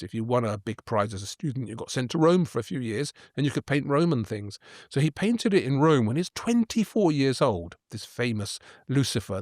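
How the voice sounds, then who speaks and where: A man chatting about art with playful, eager storytelling at a middling pitch. The recording is dry and close. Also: no echo. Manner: enthusiastic, playful storyteller asking questions. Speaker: podcast co-host. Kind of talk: casual art history chat.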